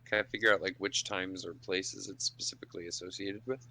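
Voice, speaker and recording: A man's voice: low-pitched, fairly even intonation, brisk, bright, forceful tone. A man chatting casually as a player in an improvised tabletop role-playing game.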